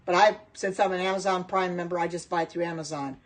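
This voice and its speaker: A woman's voice: lowish voice, steady tone, fast. A middle-aged woman from the United States, chatting casually in her home kitchen on a livestream, rambling and answering viewers' comments. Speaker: home cook and vegan livestreamer.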